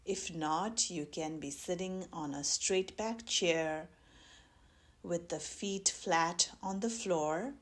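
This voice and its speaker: Slow, low-voiced woman guiding meditation. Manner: gentle, measured, with long pauses. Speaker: mindfulness meditation teacher. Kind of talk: guided meditation instruction.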